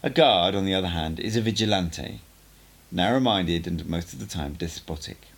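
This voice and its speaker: An assured, cutting sorcerer teacher, as a male audiobook reader plays him, lecturing on mysteries. The voice is low and gravelly with strongly varied intonation, and every point is laid out deliberately and emphatically. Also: lively intonation, heavily acted. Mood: instructive, grave. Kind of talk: audiobook character dialogue.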